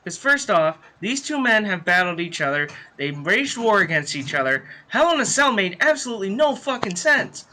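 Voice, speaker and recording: A man's voice: sits high, sing-song, moderate pace, few pauses, sharp tone, low-quality recording. A man from the United States co-hosting a wrestling podcast, chatting in a brash, sweary, argumentative way. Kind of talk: casual heated panel chat.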